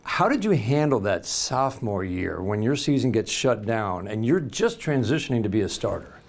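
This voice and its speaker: A man's voice: on the low side, sing-song, normal speed. An American man working as a baseball broadcaster, conducting a conversational interview with long, chatty lead-in questions.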